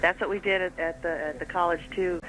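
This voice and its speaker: A woman calling in to a radio show by telephone, reminiscing in an expressive, talkative way. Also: fairly low pitch, fast, low-quality recording. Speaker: phone-in caller. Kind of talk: casual reminiscing. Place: on a phone line to a radio studio.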